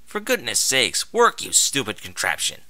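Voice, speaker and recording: A man's voice: medium pitch, huge pitch swings, moderate pace, dry recording, exaggerated voice. A man voicing an agitated, irritable male pony inventor who lives as a paranoid recluse in an audio story. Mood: exasperated. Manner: loud, agitated, blustering. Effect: voice over intercom speaker in the story.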